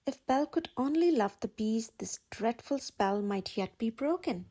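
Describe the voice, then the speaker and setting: A woman narrates a children's storybook with an expressive read-aloud delivery. Her voice sits fairly high and has a soft, breathy edge rather than a clean tone. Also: normal speed.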